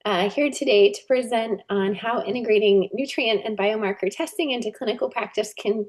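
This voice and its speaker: Woman host introducing a speaker, fairly high voice. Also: brisk, darkish tone. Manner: friendly, filler-heavy. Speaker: webinar host. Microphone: video call.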